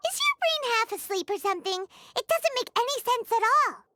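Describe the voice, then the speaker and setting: A woman voicing a small, excitable, cheeky fairy companion who talks in exclamations in an anime game. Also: very high-pitched, a little fast, noisy background. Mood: baffled, exasperated. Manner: speaks of self in third person, exclamatory.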